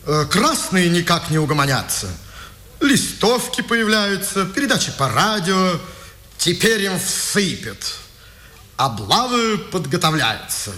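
An officious policeman in an old radio play. His voice is fairly high and forceful, and he speaks slowly with formal, obliging politeness. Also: very animated, heavily acted. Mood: confiding, grim. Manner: deliberate, official, halting politeness. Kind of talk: 1950s Russian radio drama acting.

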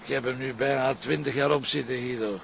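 A man's voice: mid-range voice, steady tone, brisk, gravelly, fairly bright tone. A man with a Flemish accent playing a weary, disillusioned, stubborn middle-aged police detective in a crime drama, grumbling as he talks. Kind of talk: naturalistic TV crime drama acting. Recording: dull lo-fi drama audio, faint noise.

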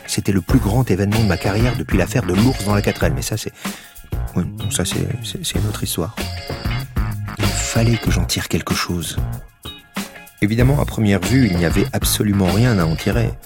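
A man's voice: fairly low pitch, moderate pace, music in the background, natural voice. A man playing a stubborn, awkward police detective in a comic audio drama, earnest and self-dramatizing, sometimes tripping over his words. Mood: brooding, earnest.

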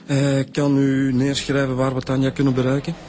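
An actor playing a mild, trusting Flemish police inspector in a radio crime drama, with a tentative way of questioning. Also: male voice, mid-range voice, monotone, moderate pace, darkish tone, lightly acted. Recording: music behind the voice.

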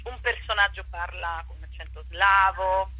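A woman talking off the cuff as an interview guest, expressive, thinking aloud and repeating words for emphasis. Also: medium pitch, normal speed, husky, dry recording, phone-quality sound. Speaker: theatre actress and director interviewed about her play. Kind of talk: unscripted radio interview chat.

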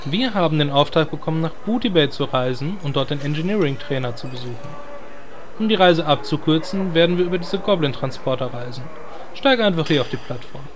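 Man as level-headed hero, higher-pitched voice. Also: animated, brisk, noisy background, natural voice. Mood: friendly, explaining. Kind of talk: fantasy parody radio play.

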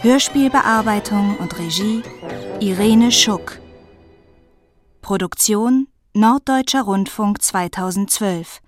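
Female announcer for drama credits: unhurried, formal, measured, over a clear music bed. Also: mid-range voice, no echo. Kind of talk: scripted radio play credits announcement. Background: music with violin.

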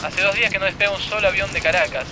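A man's voice: sits high, rapid-fire, noisy background, lightly acted. An actor playing an ardent, impatient man in love in a radio drama. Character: ardent, impatient long-distance lover, a man.

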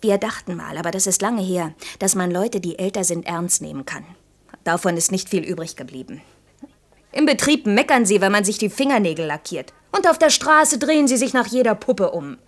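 A woman's voice: medium pitch, expressive, moderate pace, lightly acted. A young actress playing a proud, outspoken young working woman in an intimate German radio drama, earnest and reproachful. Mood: indignant. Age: young adult. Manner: earnest, reproachful, shifting between insistent outbursts and hushed confiding.

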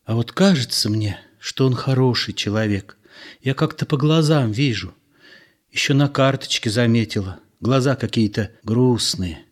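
A man voicing a timid, gentle young village woman who speaks hesitantly. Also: medium pitch, moderate pace, lightly acted.

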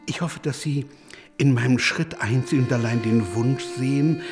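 Oily creditor for period radio drama, played by an actor: mid-pitched man, over-polite, insinuating, faint music behind. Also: normal speed, a little mellow, natural voice. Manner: formal, hesitant, insinuating. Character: oily, scheming male creditor, falsely deferential.

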